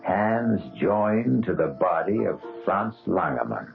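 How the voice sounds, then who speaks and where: A man narrating a radio mystery in a deep, gravelly voice with lively, expressive pitch. His delivery is measured and ominous. The sound is thin and phone-like, with clear music beneath. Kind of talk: scripted dramatic narration.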